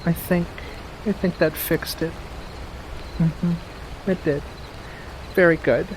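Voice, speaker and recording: A man voicing a playful, mischievous furry witch of the woods in a soft-spoken, teasing ASMR roleplay, full of gentle endearments. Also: a little high, steady tone, takes it slowly, noisy background. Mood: musing.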